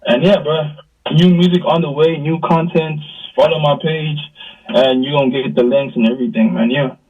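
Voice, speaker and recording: A man with a slightly husky voice, a musician guest chatting casually in hyped slang. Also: fairly high pitch, fairly even intonation, normal speed. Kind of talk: casual chat. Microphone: video call. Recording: distant, lo-fi video call.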